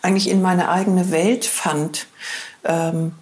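A woman's voice: lowish voice, level tone, slow, a little mellow. A woman in her sixties, a body therapist guest on a podcast, talking in an earnest, musing way with many hesitant fillers. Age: 60s. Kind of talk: reflective conversational interview. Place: lives in Hamburg.

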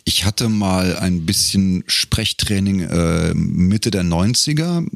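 Deep-voiced middle-aged man. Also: animated, slow pace, vocal fry, no echo, studio quality. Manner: joking, anecdotal. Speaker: podcast guest, professional voice-over speaker and singer. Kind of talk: casual interview chat. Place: close-miked in a dry room.

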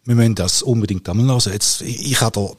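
A man's voice: on the low side, fast talker, slightly rough. A man hosting a casual podcast interview and putting questions to his guest.

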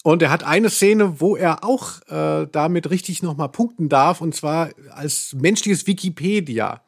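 Higher-pitched man chatting on a podcast. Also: measured pace, creaky, slightly rough. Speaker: podcast host. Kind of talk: casual chat about audio dramas.

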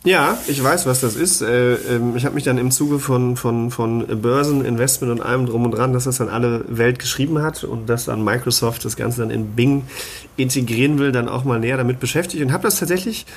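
Slightly creaky male podcast co-host. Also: medium pitch, brisk. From Germany. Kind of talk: casual podcast chat.

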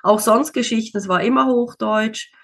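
A woman's voice: fairly high pitch, moderate pace, fairly bright tone, breathy edge. A woman, talking casually as an interview guest, with many fillers and easy laughs. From Switzerland. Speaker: interview guest, single mother.

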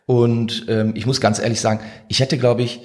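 A man's voice: mid-range voice, little pitch movement, moderate pace, darkish tone. A man from the Ruhr region co-hosting a podcast, talking colloquially and somewhat hesitantly. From Ruhr region, Germany. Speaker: podcast co-host, author. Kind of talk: casual podcast chat.

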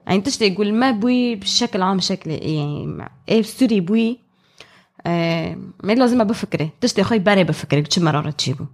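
A woman's voice: medium pitch, animated, rapid-fire. A woman speaking as an interpreter on a podcast, in spontaneous spoken translation.

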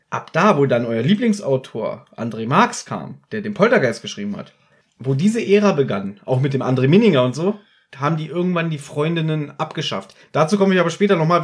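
Higher-voiced man chatting on a podcast. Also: lively intonation, fairly quick. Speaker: podcast host. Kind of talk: casual podcast chat.

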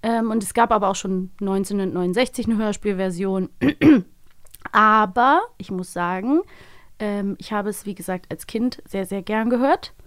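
A woman's voice: mid-range voice, moderate pace, no echo, clean studio sound. A young woman hosting a podcast, chatting casually with a co-host. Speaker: host of an audio drama review podcast.

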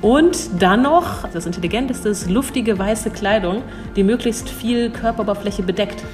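A woman's voice: mid-range voice, moderate pace. Young woman interviewee, thoughtful and hesitant, laughs easily, faint music under her. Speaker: cultural attaché at an embassy. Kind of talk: interview answers.

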